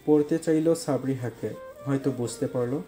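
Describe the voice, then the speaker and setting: A man narrates a scripted love story. His voice sits at a medium pitch with a dark, relaxed tone. Faint background music runs under the storytelling. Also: moderate pace.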